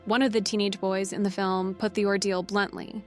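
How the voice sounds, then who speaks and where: Lady reading out a factual article, midrange voice, soft music underneath. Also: medium pitch, little pitch movement, moderate pace. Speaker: reader of quoted journalism. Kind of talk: scripted read-aloud, factual article.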